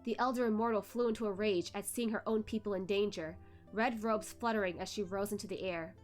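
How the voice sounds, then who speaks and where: A woman's voice: medium pitch, fairly even intonation, brisk, a little mellow, faint music behind. A woman streamer reading a web novel aloud in dramatic narration.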